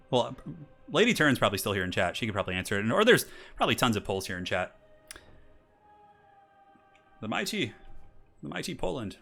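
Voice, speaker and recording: A bright, chatty man in his thirties hosts a live game stream. He reads out donations and chats with viewers, then casts a strategy game match. His match intros rise to hyped announcing. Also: medium pitch. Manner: chatty, reads donations aloud, laughs often. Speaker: streamer and tournament caster. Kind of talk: casual live-stream chat and game commentary.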